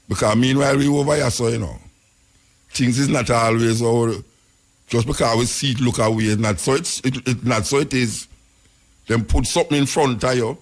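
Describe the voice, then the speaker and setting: A man with a Jamaican accent hosting a radio show, rambling through casual commentary in patois with frequent chuckles. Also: medium pitch. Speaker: radio host.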